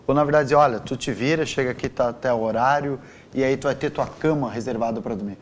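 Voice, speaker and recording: A man's voice: medium pitch, quick pace, a little hiss or hum. A man with a southern Brazilian accent hosting a casual interview, inquisitive and prompting his guest with follow-up questions. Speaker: interviewer, host.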